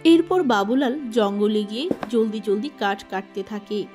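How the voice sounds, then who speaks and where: A woman's voice: mid-range voice, expressive, moderate pace. Woman narrating a cartoon tale over music. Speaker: storyteller narrator. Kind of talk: scripted cartoon story narration.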